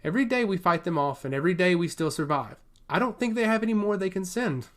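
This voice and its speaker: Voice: medium pitch, expressive, quick pace, natural voice. A narrator voicing a fiercely independent, proud prince in a fantasy story, firm and formal. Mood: proud confidence.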